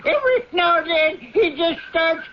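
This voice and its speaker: A man's voice: very high voice, animated, normal speed. An actor playing a jovial, folksy showman in a radio drama, coaxing his animal with chuckles. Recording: lo-fi radio recording, noisy ambience.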